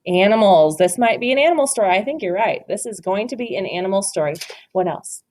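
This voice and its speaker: A woman reads a picture book to young children in a very lively, sing-song voice that swoops up and down. Her pitch sits in a middling range. She keeps stopping to ask encouraging questions about the pictures. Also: quick pace. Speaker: teacher reading aloud. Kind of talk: children's read-aloud.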